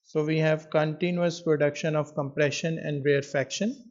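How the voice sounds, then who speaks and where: A man tutoring in physics, explaining an exam question in a measured way with pauses as he writes. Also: a little high, little pitch movement. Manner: measured, pausing while writing out answers. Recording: dull lo-fi microphone sound.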